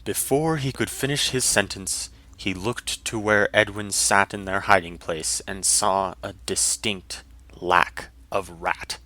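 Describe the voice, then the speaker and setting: A man's voice: on the low side, fairly even intonation, slow, sharp tone. A man narrating a scripted fantasy story in a dramatic style.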